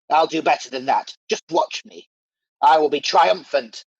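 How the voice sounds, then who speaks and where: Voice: mid-range voice, measured pace, breathy edge, dry recording, highs cut off. An actor playing a wicked, scheming, cynical pantomime villain in a radio play, sneering and gloating theatrically. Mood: gloating menace.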